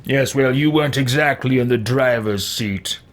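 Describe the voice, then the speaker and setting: A man's voice: mid-range voice, moderate pace. A man hosting a let's play, improvising in character with a haughty, dismissive, sardonic manner.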